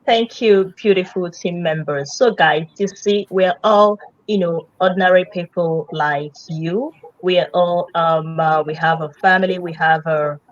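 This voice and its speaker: Low-voiced woman hosting on a video call. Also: a little slow, few pauses, no room sound, dull audio. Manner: warm greetings with frequent fillers. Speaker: host. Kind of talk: casual live-stream chat.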